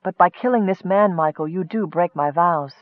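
A man voicing a serene, detached goddess, speaking softly and solemnly in a fantasy audiobook. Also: high voice, no room sound, phone-quality sound, close to an everyday voice. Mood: gentle firmness. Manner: soft, measured, solemn.

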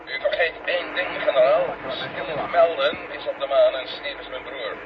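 A man's voice: mid-range voice, normal speed. A male actor playing a stubborn, defiant astronaut in a Dutch radio drama, sarcastic and emphatic over a radio link. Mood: determined. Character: defiant, stubborn male astronaut refusing his general's orders. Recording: muffled old radio recording, loud noise.

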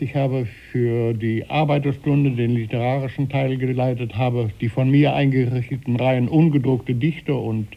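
An eighty-year-old man recalling his life deliberately and precisely as a studio interview guest. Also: mid-range voice, gravelly, muffled recording. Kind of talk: reminiscing in an interview. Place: radio studio.

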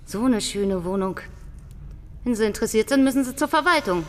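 A woman's voice: on the high side, expressive, brisk, natural voice. Radio drama bit part: actress as nosy, officious female neighbour, curt, reverberant hallway sound. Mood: suspicious, curt. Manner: brusque, nosy. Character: suspicious, officious female apartment-block neighbour. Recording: long reverb of a stairwell.

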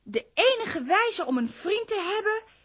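Piercingly high, eager little girl. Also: sing-song, measured pace, full character voice. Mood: surprised, earnest. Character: eager, curious young cartoon girl. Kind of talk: children's audio drama acting.

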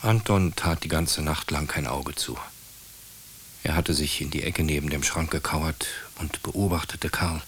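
A man's voice: very deep voice, a little slow, a bit bright, slight background noise. A man narrating a radio drama as scripted storytelling.

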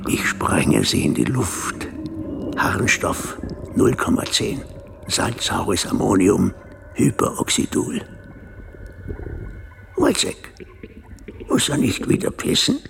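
A fanatical, hectoring doctor in a theatrical radio drama. His low, creaky voice swings wildly in pitch as he declaims with self-important zeal. The recording has clear background noise. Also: wildly swooping pitch, slow, long pauses, heavily acted. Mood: ranting, lecturing. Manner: declamatory, erratic swings from low mutter to shrill cry. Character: pompous, fanatical, hectoring male doctor and experimenter. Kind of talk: theatrical German radio play acting.

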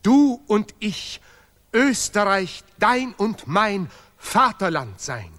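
Radio-play role: grandiose scholar guarding art, slow, declamatory, repetitive, deliberately ungrammatical. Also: male voice, high-pitched, very animated, fairly bright tone, exaggerated voice. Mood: solemn, exalted. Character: self-important Nobel laureate professor, fervent guardian of German art. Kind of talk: experimental radio play dialogue in broken, chanted German.

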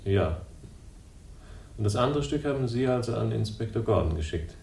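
An actor playing a dry, bitter investigator in a radio crime drama, laconic and sardonic. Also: male voice, lively intonation, moderate pace, gravelly, darkish tone, slight background noise. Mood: wary, restrained. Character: dry, bitter male investigator, quietly hostile. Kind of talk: 1960s German radio crime drama acting and narration. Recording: roomy, distant, faintly rumbling radio recording.

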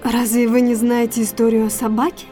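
An actress playing an anxious, secretive young gentlewoman in an audio drama, guarded and earnest. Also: on the high side, flat delivery, brisk, faint music behind, close to an everyday voice. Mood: guarded, urgent.

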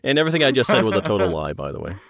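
A man's voice: lowish voice, sing-song, rapid-fire, creaky, a crowd in the background. A man talking casually as an interview guest, telling things in an anecdotal way. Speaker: podcast guest, audio drama producer.

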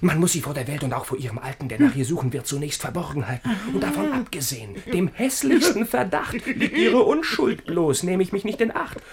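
An actor playing an ardent, naive young gentleman in love, telling his tale exuberantly in rhymed verse for a radio play. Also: high-pitched, big pitch swings, brisk, hardly any pauses, a crowd in the background. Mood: earnest, pleading. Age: young adult.

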